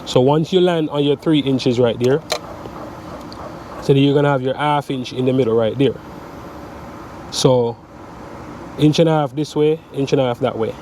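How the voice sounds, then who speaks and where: A man in his thirties with a slightly stuffy, congested voice walks through his work in a relaxed, step-by-step way, dropping "so" and "guys" often. He talks into a camera strapped to his chest outdoors, with clear outdoor noise, traffic and air conditioning hum behind him. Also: medium pitch, moderate pace, long pauses. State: stuffy from a cold. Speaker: electrician vlogger. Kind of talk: casual how-to vlog. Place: outdoors on a sunny waterfront dock. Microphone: chest-mounted action camera.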